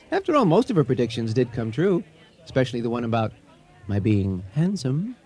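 Sceptical American husband, wry, swooping intonation, mellow tone, radio drama. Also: male voice, medium pitch, big pitch swings, moderate pace, breathy edge. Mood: teasing. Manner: wry, teasing, conversational. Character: joking, sceptical husband, tall and easygoing. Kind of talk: 1980 radio drama acting.

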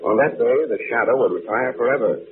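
An actor playing a calm, reflective gentleman crime-fighter in an old-time radio drama, measured and thoughtful. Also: mid-range voice, natural voice. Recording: tinny, noisy vintage radio broadcast.

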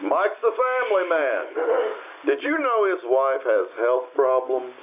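Tinny, noisy radio recording: man plays a patient, wise spirit guide, very animated pitch, gently admonishing. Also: unhurried. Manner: calm, explanatory, gently admonishing. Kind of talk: old-time radio drama acting. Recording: tinny phone-like band, noisy radio sound.

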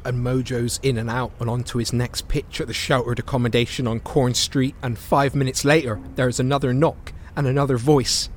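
A man's voice: mid-range voice, flat delivery, brisk, few pauses, a little hiss or hum, lightly acted. An actor playing a desperate young homeless man in an audio drama, breathless and chesty, pleading and confessional. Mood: weary. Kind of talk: audio drama acting, dialogue and monologue.

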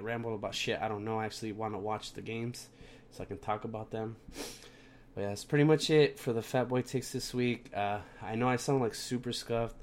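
A man's voice: on the low side, a little mellow, a little hiss or hum. A young man hosting a casual sports podcast, sounding plugged up from a cold and rambling with lots of fillers and restarts. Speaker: podcast host.

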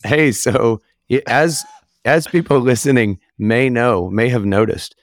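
A man co-hosting a casual podcast chat, his voice still a little hoarse and squeaky from losing it, talking in a loose, easygoing way. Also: measured pace, dry recording, studio quality. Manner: easygoing, loose, lots of fillers. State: recovering from voice loss, occasional squeak. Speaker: podcast co-host.

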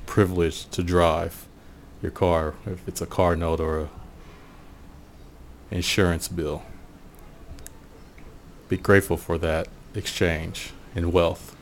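Low-voiced American man over background noise. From Portland, USA. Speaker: podcast host. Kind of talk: reflective solo monologue, casual.

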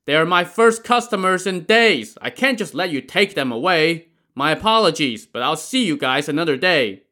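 A gruff river boatman, put on by a male storyteller, sneers and taunts with a loud, pushed-up voice that swoops from line to line. He sounds cocky and cruel, a smuggler sure he has the upper hand. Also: mid-range voice, animated, normal speed. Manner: mocking, threatening.

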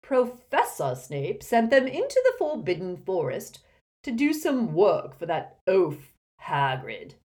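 A woman's voice: medium pitch, wildly swooping pitch, slow, a little mellow, voice pushed up. A woman voicing a pompous, indignant old male wizard in a talking portrait, in an audiobook. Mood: haughty, indignant. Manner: haughty, supercilious, scornful. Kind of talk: audiobook character acting.

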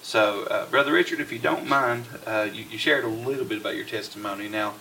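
Male podcast host, casual interview chat, bright tone, faint background noise. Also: on the low side, gravelly, rough recording. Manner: friendly, halting with fillers.

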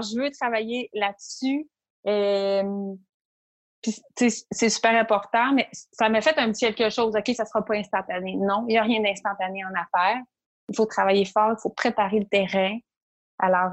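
A woman with a Québécois French accent speaks as a guest entrepreneur in an interview. She talks in a lively, animated way and often acts out her own inner thoughts as she tells her story. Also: medium pitch, moderate pace. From Quebec. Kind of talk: interview conversation.

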